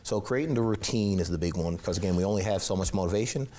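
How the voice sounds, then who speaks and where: A man's voice: low-pitched, racing, a little mellow. A man, a personal trainer interviewed in a TV studio, giving encouraging advice with lots of fillers.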